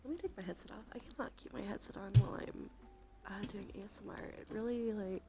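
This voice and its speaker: A soft-spoken woman chatting on a cozy ASMR livestream, with a creaky voice that often slips into a breathy whisper. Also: medium pitch, fast, over loud music. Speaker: streamer.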